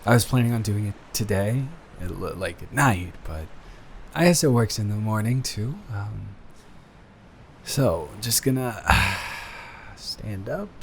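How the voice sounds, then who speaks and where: A man acting as a devoted young boyfriend with a lowish voice, nervous and sweet on his partner. He rambles and stumbles bashfully through an intimate, improvised roleplay, with noticeable ambient noise behind him. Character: nervous, adoring young boyfriend about to propose.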